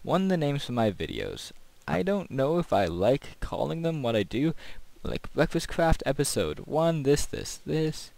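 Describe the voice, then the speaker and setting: A man's voice: on the high side, sing-song, unhurried, creaky, few pauses, darkish tone, no room sound. A man giving casual gameplay commentary as a video host, rambling and thinking aloud.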